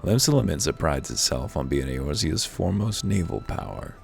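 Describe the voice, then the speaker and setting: A man's voice: rumbling low, very animated, normal speed, vocal fry, full character voice. A man voicing a chatty, friendly travelling peddler from a fantasy game, worldly-wise and speaking in folksy dialect, with music behind him. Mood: chatty, boastful. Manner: folksy dialect, colloquial phrasing. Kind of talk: voiced reading of fantasy game dialogue.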